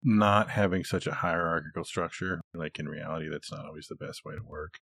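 Deep voiced guy on a podcast, thoughtful and casual, really dry sound no room. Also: a little fast, gravelly, a bit bright, no room sound. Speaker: podcast co-host. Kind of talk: casual, reflective discussion.

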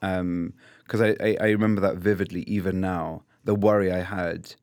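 Man, 30s, reflective interview guest, deep-ish voice, dry close mic. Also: normal speed, no room sound. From London, England. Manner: thoughtful, restarts and repeats words, shifts between lively and hushed. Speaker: podcast guest.

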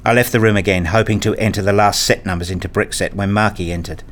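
A man with a fairly low voice narrates a radio drama in the first person. His delivery is measured and understated, with a literary feel. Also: fairly even intonation, a little hiss or hum. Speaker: narrator and lead character, a father.

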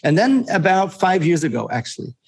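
A man's voice: high-pitched, big pitch swings, brisk, creaky, breathy edge. A man in his forties who grew up in Germany, a pastor telling his story as an interview guest, earnest and restarting often as he recalls things. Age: 40s.

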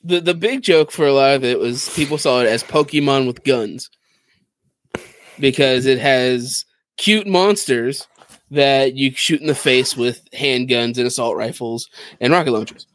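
A man's voice: mid-range voice, measured pace, a bit bright. A man chatting casually as a podcast co-host, explaining video games with enthusiasm. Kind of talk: casual gaming podcast chat.

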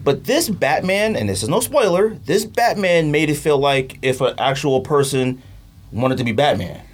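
A man's voice: a little high, expressive, normal speed. A man chatting casually as a podcast host, emphatic and opinionated, with slangy phrasing and repeats for emphasis. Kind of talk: casual podcast banter about movies.

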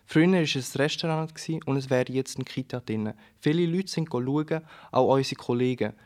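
A man's voice: mid-range voice, normal speed, a little mellow. A teenage boy with a Swiss German accent presenting a scripted radio segment as a student host.

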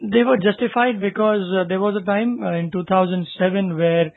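A man's voice: high-pitched, steady tone, brisk, vocal fry, no echo. A man co-hosting a podcast, discussing business news conversationally and posing rhetorical questions as he explains. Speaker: podcast co-host. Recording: narrow, phone-like lo-fi sound.

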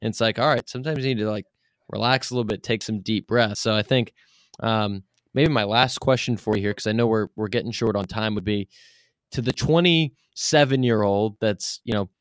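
Low-voiced man, casual podcast host. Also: a little fast, no room sound. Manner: informal, loosely phrased, meandering questions. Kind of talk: casual interview chat.

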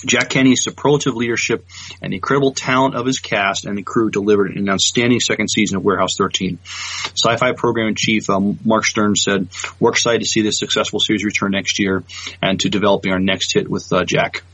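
A man with a low, husky voice co-hosts a news podcast. He speaks haltingly, repeating words as he goes, and the sound is dry and slightly muffled. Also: fairly even intonation, fairly bright tone. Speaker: podcast co-host. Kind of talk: casual news chat.

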